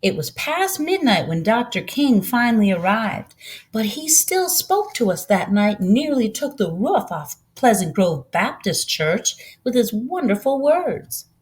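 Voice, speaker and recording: A woman voicing a kindly, proud old Southern farm woman who tells her story in a folksy, unhurried way for a storybook. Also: a little high, very animated, pitched above the performer's own voice. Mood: proud storytelling.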